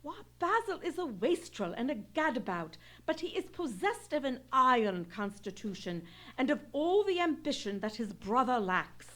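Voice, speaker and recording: A woman's voice: high-pitched, big pitch swings, normal speed, fairly bright tone. A woman playing an anxious, eloquent English aristocratic lady in an old-time radio play, speaking in formal, theatrical period diction. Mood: bitter, emphatic.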